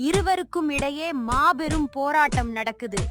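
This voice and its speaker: A woman narrates a folk tale with dramatic, scripted delivery. Her voice is high, and faint music plays underneath. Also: animated, moderate pace.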